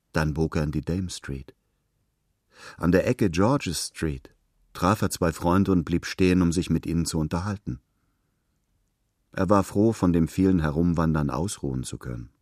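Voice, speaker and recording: A man's voice: deep voice, lively intonation, normal speed, pauses often, a little mellow, dry recording, studio quality. A man reading literary fiction aloud as a scripted narration.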